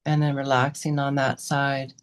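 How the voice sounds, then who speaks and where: A woman guiding a meditation as a teacher, giving unscripted gentle instructions in short, unhurried phrases with pauses between them. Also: extremely low pitch, little pitch movement. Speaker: meditation and yoga teacher leading a guided session.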